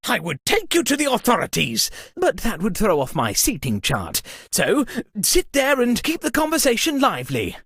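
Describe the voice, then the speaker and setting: A fussy, upper-crust party host from a video game, full of pompous theatrical outrage. The pitch leaps wildly from phrase to phrase, and the voice has a husky grain, recorded very dry. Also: female voice, wildly swooping pitch, moderate pace, no echo, exaggerated voice. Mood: indignant, pompous. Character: fussy, dramatic upper-class party host, easily scandalized. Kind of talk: theatrical scripted game voice lines.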